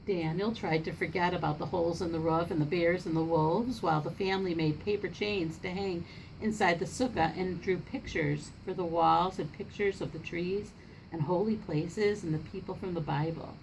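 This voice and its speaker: A woman reads a children's picture book aloud in a somewhat low voice. Her delivery is expressive and informal, with the odd stumble. There is faint room noise in the recording. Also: moderate pace, vocal fry, poor audio. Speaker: storybook reader for children.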